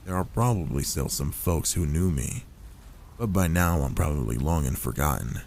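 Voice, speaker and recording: Guy doing an older werewolf for asmr roleplay, deep voice, gentle soothing loner, kinda paternal, some noise behind him. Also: lively intonation, creaky, full character voice. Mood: wry, guarded. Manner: soothing, paternal, unhurried reassurance. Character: older werewolf man, gruff but gentle, protective loner. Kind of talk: ASMR roleplay, soft intimate character acting.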